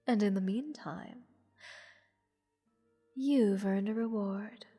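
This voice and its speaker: A woman voicing a playful, haughty goddess in an intimate fantasy audio roleplay, teasing and condescending toward a captive mortal in measured, theatrical speech. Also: medium pitch, warm tone, breathy edge. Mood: impressed, sly. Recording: long reverb with music behind. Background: orchestral music.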